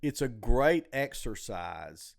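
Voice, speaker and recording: A man speaks as a podcast host in loose, casual conversation. His pitch is average for a man. He rambles, circles back over his own phrases and uses some salty language. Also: big pitch swings, slow, vocal fry. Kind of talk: casual podcast chat about comedy.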